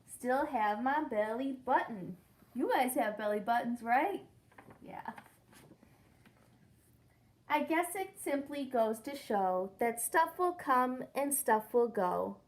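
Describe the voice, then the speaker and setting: Young female teacher, unhurried children's read-aloud, slightly high voice, counts and quizzes the kids. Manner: asks the children questions, counts along.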